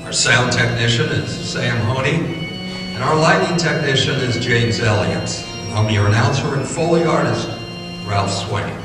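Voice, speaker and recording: A man's voice: mid-range voice, a little slow. A man with an American accent doing old-time radio announcing and ad reading. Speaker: announcer and Foley artist. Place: performing arts center stage. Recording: echoey hall, distant mic, faint music.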